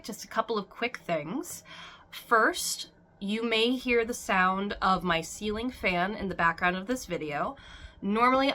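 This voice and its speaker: Bright-voiced woman, hoarse and sniffly. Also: mid-range voice. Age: adult. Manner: rambling, chatty, with restarts. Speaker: doll collector vlogger. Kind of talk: casual chat to camera. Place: warm room at home with a ceiling fan.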